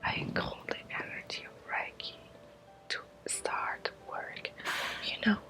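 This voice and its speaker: A woman, a native Spanish speaker, giving an ASMR guided lesson as a Reiki teacher in a breathy whisper, in halting short phrases with long pauses. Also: bright, forceful tone, faint music behind, low-quality recording.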